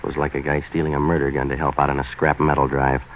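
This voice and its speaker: An actor playing a tough, cynical waterfront fixer, deadpan and terse, in 1940s hardboiled radio drama. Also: male voice, rumbling low, fast. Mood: wry, world-weary. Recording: noisy vintage 1940s radio broadcast.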